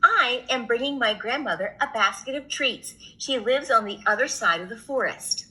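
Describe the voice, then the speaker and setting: A woman reading a children's story, voicing an innocent, earnest little girl with wondering, drawn-out exclamations. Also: fairly high pitch, lively intonation, bright, forceful tone, low-quality recording. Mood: innocent, eager.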